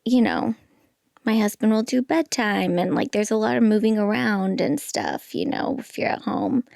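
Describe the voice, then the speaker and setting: A pregnant woman in her thirties chats loosely on a podcast, her small, light voice catching in vocal fry. She laughs often and rambles through fillers. The sound is dry and close, with no room around it. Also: medium pitch, very animated, moderate pace, a little mellow, dry recording. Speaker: guest comedian. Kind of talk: casual podcast chat.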